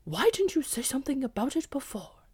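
A woman reading aloud voices a gruff, loyal male dog who talks loudly and excitably. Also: sits high, fairly quick, no room sound, pitched above the performer's own voice, full character voice. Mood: eager. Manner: growling, loud and excitable.

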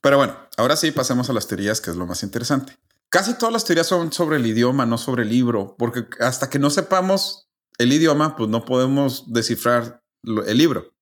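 Podcast host, man, mid-pitched, methodical explainer who restarts phrases. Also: lively intonation, a little fast. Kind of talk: casual explanatory podcast chat.

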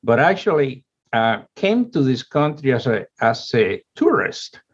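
Man, 70s, husky voice, rambling storyteller, lo-fi sound. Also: medium pitch, sing-song, unhurried. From Cuba. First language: Spanish. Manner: rambling, with many restarts and fillers. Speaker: guest, company chief executive. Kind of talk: casual storytelling in an interview. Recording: dull, lo-fi audio.

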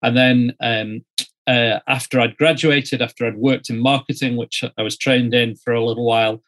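A middle-aged man from Yorkshire, speaking as an interview guest in a conversational storytelling way with measured, hesitant phrasing. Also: mid-range voice, fairly even intonation, a bit bright. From Yorkshire, northern England. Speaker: interview guest, student ministry director.

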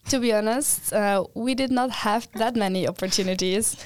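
A young woman from Austria talks as a guest on a student podcast in a relaxed, chatty way. Her voice sits in the middle range and the sound is close and dry. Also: mid-range voice, moderate pace, no room sound. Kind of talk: casual podcast chat.

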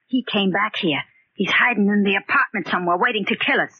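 An actress in a 1940s radio thriller playing a frightened, overwrought gangster's wife with urgent, melodramatic delivery. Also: female voice, medium pitch, fairly quick, slightly rough, no echo, natural voice. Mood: accusing, fearful. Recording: dull vintage radio recording.

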